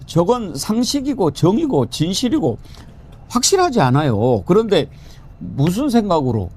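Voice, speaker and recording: Lively male political talk host. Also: high-pitched, normal speed, slightly rough. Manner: lively, joking, quotes with raised voice.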